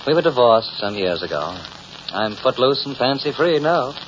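A man acting a suave, pompous gentleman in a vintage radio drama, with formal, flowery and courtly speech. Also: mid-range voice, sing-song, moderate pace, close to an everyday voice. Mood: gallant, charming. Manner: formal, flowery, over-polite diction. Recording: muffled, hissy old radio recording.

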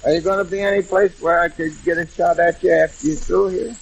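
A man's voice: high voice, steady tone, fairly quick, gravelly, slightly rough, full character voice, rough recording. An actor playing a dim, polite novice hitman in a 1940s radio comedy, speaking plainly and with naive courtesy. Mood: matter-of-fact menace. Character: dim, polite novice gangster hitman who hates swing. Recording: old radio recording with music.

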